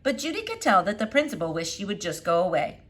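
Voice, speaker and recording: Expressive woman reading a children's book aloud. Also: medium pitch, quick pace, slightly rough. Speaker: storybook reader.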